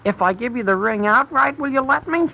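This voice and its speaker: A man's voice: very high-pitched, expressive, fairly quick, vocal fry, sharp tone, full character voice. An actor playing a pushy, scheming teenage boy who wheedles his pal in a 1930s radio comedy. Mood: wheedling. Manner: insistent, wheedling. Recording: dull old 1930s radio broadcast.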